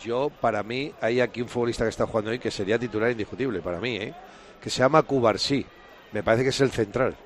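Tertuliano rápido y enfático. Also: male voice, mid-range voice, fast, a little hiss or hum. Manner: emphatic, repeats phrases. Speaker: studio pundit. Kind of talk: radio sports chat.

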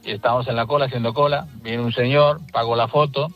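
A man's voice: mid-range voice, fairly even intonation, fast talker, lo-fi sound, soft background music. Easygoing male radio caller. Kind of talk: radio phone-in anecdote.

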